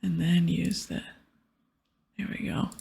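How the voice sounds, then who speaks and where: A woman giving hushed, breathy ASMR commentary as she plays a game, mostly whispering with stretches of soft speech. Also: lowish voice, monotone, slow. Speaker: ASMR gaming streamer.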